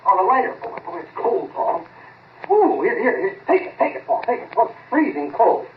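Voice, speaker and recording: An actor playing an excitable, halting American amateur inventor in a 1940s radio drama, plain-spoken and easily amazed. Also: male voice, sits high, slight background noise, full character voice. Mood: amazed, excited. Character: excitable male amateur time-machine experimenter, halting and folksy. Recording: narrow-band vintage 1940s radio recording.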